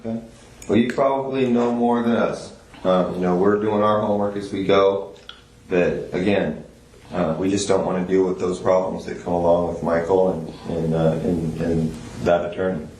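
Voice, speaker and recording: A male police investigator talking in a measured, persuasive way during an interrogation. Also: low voice, steady tone, darkish tone. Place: small indoor police interview room. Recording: echoey room, clear background noise.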